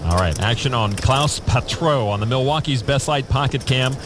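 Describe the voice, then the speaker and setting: A man calling a poker tournament as the play-by-play commentator, in a steady, measured broadcast delivery. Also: mid-range voice, background noise.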